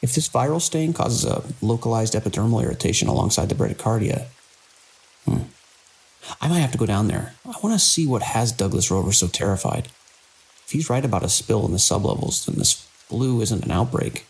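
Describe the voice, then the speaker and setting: An actor playing a weary but measured hospital director in an audio drama, speaking with authority as he reasons things through. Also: lowish voice, sing-song, creaky, a little mellow, breathy edge, heavily acted, low-quality recording. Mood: pondering, uneasy. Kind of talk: radio drama acting.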